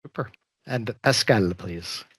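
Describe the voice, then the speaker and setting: A man's voice: fairly low pitch, a bit bright, husky, dry recording. Man moderating a panel, dry sound. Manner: measured, with frequent um fillers. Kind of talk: opening remarks on a panel.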